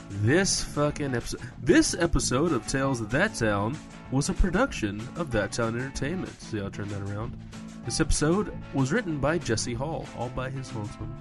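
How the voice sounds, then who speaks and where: A male announcer reads scripted credits in an informal way. His pitch is average, and guitar music plays clearly underneath. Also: sing-song, normal speed.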